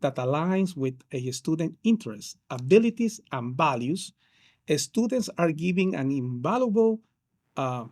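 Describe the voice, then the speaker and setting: Man, fairly high voice. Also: sing-song, unhurried, a little mellow. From Peru. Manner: hesitant, many fillers and restarts. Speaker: case manager counselor. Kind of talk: interview answers.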